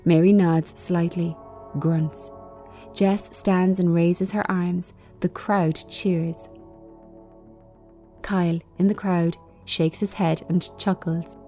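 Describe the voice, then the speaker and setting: A woman reads screen directions in a measured, unhurried way. Her voice is slightly low and very mellow, delivering short phrases of scripted narration. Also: steady tone, soft background music. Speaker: screenplay reader.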